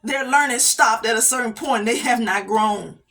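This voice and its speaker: A woman giving a motivational faith talk, preaching with repeated phrases and soft laughs between points. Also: on the high side, moderate pace. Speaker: inspirational speaker.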